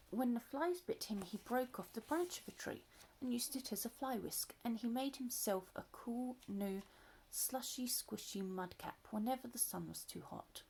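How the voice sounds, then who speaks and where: A woman reading a children's story aloud in an expressive, playful storytelling manner. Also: fairly high pitch, vocal fry, a little hiss or hum, poor audio.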